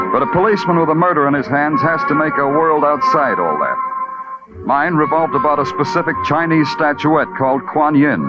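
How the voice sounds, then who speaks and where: An actor playing a wry, world-weary Broadway police detective, deadpan and poetic, in old-time radio noir style. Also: male voice, medium pitch, lightly acted. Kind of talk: 1940s-50s radio noir acting and narration. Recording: dull old radio broadcast with loud music.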